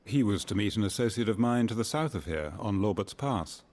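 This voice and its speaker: An actor playing a suave, genteel merchant in a video game, measured and politely condescending. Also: male voice, lowish voice, lively intonation, darkish tone, lightly acted. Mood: smooth, courteous. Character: suave, genteel male merchant with guarded courtesy. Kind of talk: dramatic video game acting. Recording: faint guitar music behind.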